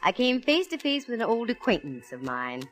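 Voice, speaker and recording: An actress playing a cool, sassy, wisecracking private eye with wry, punchy delivery in 1940s radio detective drama. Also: female voice, a little high, very animated, moderate pace, a bit bright, faint music behind. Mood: wry narration. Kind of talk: 1940s radio detective drama, first-person narration and dialogue. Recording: faintly noisy vintage radio recording.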